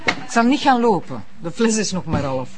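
A woman's voice: lowish voice, very animated, normal speed, background noise. An actress playing a teasing, skeptical female visitor in a radio play, speaking with a Flemish accent. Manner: teasing, mocking.

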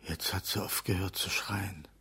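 A man playing a tense, resigned husband in a radio drama, in a hushed, husky voice. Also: low voice, steady tone, a little slow. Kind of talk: German radio drama acting.

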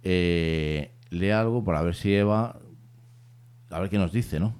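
A male radio host with a very deep, smooth and mellow voice leads a poetry interview. He speaks at a measured pace, tags his remarks with questions and reads quotes aloud.

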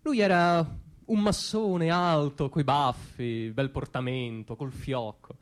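Man acting a neurotic, high-pitched everyman. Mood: uneasy, wistful. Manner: halting, muttering, building to frantic exclamations. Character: neurotic, self-deluding everyman, anxious and easily queasy. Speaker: stage monologist. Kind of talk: theatrical comic monologue, Italian theatre-song.

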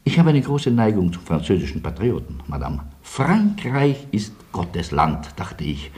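An actor playing a courteous, ironic Polish-born refugee and sunny survivor in a German radio drama. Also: male voice, deep voice, huge pitch swings, a little slow, a little mellow, exaggerated voice. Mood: ironic, wistful.

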